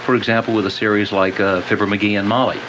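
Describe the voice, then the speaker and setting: A man's voice: fairly low pitch, level tone, fast talker. A man hosting a live call-in radio interview, putting questions to his guest. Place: radio show on air. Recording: very noisy cassette of radio broadcast.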